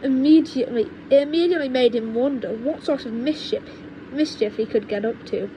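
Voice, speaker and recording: High-voiced woman reading a storybook. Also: dark tone. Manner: halting, often rereads and corrects words. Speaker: audiobook reader. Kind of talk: children's storybook narration. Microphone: cheap home microphone. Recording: noisy low-quality home microphone.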